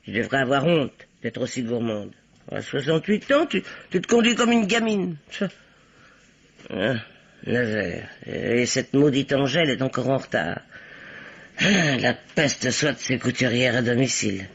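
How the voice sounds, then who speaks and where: An actress playing a bossy, sharp-tongued elderly spinster in a radio drama, with an imperious, scolding way of talking. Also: female voice, rumbling low, sing-song, a little slow, breathy edge, heavily acted. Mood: scolding, exasperated. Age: older. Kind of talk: old French radio drama acting. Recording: dull, band-limited vintage radio recording.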